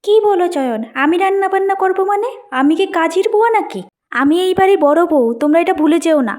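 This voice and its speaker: A woman acts out a spoiled young cartoon wife with a very high-pitched voice. She is haughty and sulky, snapping and complaining as if every chore were an insult. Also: lively intonation, fast talker, a little mellow. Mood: haughty, indignant. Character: haughty young wife, sulky, work-shy daughter and sister-in-law.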